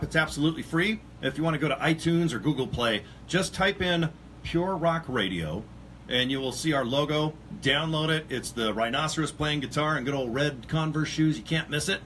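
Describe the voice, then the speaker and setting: Radio host guy, slightly high voice, rambles a lot, faint hiss behind. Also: fairly bright tone. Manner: rambling, chatty, many fillers. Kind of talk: casual radio interview.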